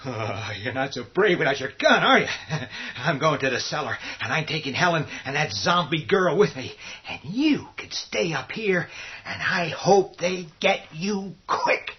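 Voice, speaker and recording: An actor playing a cowardly, spiteful husband in a horror radio drama, agitated and sneering, with a raspy voice. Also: male voice, on the high side, expressive, measured pace, exaggerated voice. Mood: spiteful, taunting. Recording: muffled lo-fi sound.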